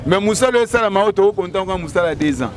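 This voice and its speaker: A man's voice: high voice, lively intonation, very fast. Emphatic man, busy crowd behind. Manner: emphatic, enthusiastic. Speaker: resident interviewee. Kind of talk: street interview. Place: outdoor market street. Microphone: handheld mic held close. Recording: crowd noise outdoors.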